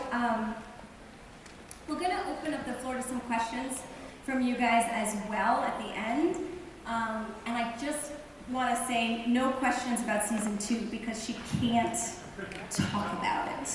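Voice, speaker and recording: A woman's voice: a little high, unhurried. A woman moderating a live question session, upbeat and leading the talk in a large hall. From born in Iran. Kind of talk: hosting a live Q&A. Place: large hall before an audience. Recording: echoey hall, distant mic, background noise.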